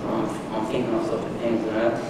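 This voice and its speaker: A man's voice: fairly low pitch, little pitch movement, fairly quick. A man from Iran, asking a lecturer questions from the audience, often rephrasing as he goes. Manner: searching, rephrasing his questions. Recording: echoey hall from far off, background noise.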